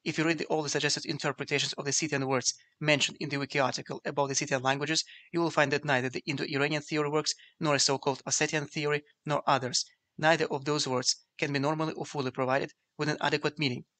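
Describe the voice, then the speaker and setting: A man whose first language is Ukrainian, narrating a scripted lecture in a measured, explanatory way. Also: a little high, level tone, bright, forceful tone. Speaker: video essay narrator.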